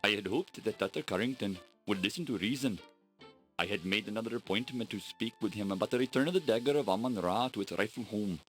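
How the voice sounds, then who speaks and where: A man's voice: fairly low pitch, fast, a bit bright, faint music behind, lightly acted. An actor playing a stern, proud Egyptian male scholar who speaks in formal, solemn and menacing pronouncements, in a 1990s adventure game.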